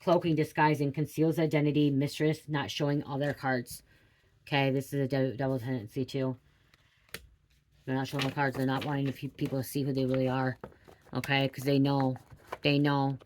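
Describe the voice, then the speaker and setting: A woman's voice: extremely low pitch, level tone, brisk, a little hiss or hum. A middle-aged woman talking casually and confessionally, blunt and sweary, repeating phrases for emphasis. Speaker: host giving a spiritual reading.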